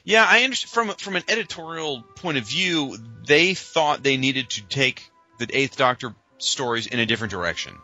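A man's voice: medium pitch, animated, moderate pace, few pauses, pushed and bright, lo-fi sound, soft background music. A man co-hosting a podcast, talking casually and emphatically with a fan's strong opinions. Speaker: podcast co-host. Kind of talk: casual fan discussion.

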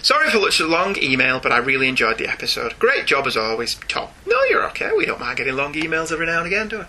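Man, higher-pitched, very bright voice, animated podcast host, casual chat and email reading. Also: fast talker, a little hiss or hum.

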